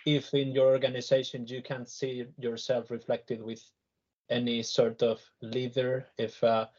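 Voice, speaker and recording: A man with a husky voice giving his opinion as a panel guest, earnest and repeating words as he thinks. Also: mid-range voice, little pitch movement, measured pace, darkish tone, muffled recording. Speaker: panel guest, tech director. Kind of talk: panel discussion, conversational opinion.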